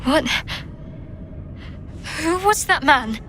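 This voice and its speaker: An actress playing a guarded, wounded young woman cleric in a video game, speaking haltingly and with feeling. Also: sits high, lively intonation, noisy background, full character voice. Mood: shocked. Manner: halting, emotional. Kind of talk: dramatic video game acting.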